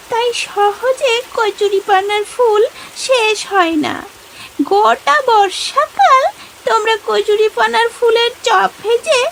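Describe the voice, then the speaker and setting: A woman voicing a small, gentle, kindly cartoon fish that speaks in a coaxing way. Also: very high voice, gravelly, slight background noise, full character voice, low-quality recording. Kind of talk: cartoon voice acting.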